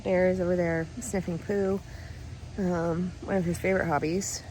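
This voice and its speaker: A woman's voice: on the low side, flat delivery, normal speed, very noisy. A middle-aged woman chatting casually to a phone camera, rambling through updates on her days. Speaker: vlogger. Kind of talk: casual vlog talking to camera.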